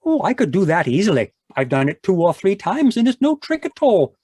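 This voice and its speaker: A man's voice: high-pitched, big pitch swings, a little fast, a little mellow, husky, no room sound, clean studio sound, heavily acted. A man playing a genial, boozy ex-doctor sidekick in a 1940s-style radio drama, talking in a florid, rambling, mock-dignified way. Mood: tipsy, grandiloquent. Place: live remote video-call performance.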